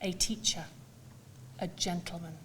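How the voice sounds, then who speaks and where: Woman giving a measured memorial tribute. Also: lowish voice, fairly even intonation, a bit bright, a little hiss or hum. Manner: measured, formal, deliberate phrasing with pauses. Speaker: school leader giving a eulogy. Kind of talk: prepared memorial speech to an assembly.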